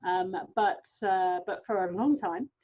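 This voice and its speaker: A woman's voice: mid-range voice, measured pace, dull audio. A middle-aged woman with a London English accent, giving an informal, enthusiastic science talk. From London, England. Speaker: guest scientist, university professor. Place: online video call.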